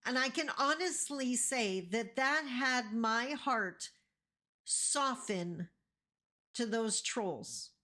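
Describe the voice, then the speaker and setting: A middle-aged woman from Northern Ontario speaks to her viewers in a slightly raised voice at an unhurried pace. She is still recovering from a cold. She talks to them warmly and directly, with plenty of enthusiasm. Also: fairly high pitch, lively intonation. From Northern Ontario, Canada. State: getting over a cold. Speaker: vlogger, host of her channel. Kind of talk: casual direct-to-camera talk.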